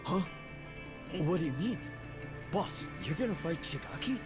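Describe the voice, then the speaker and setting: An actor playing an excitable, loyal yakuza underling in a video game, exclaiming eagerly and deferentially to his boss. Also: fairly high pitch, unhurried, music in the background. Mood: confused. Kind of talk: dramatic video game voice acting.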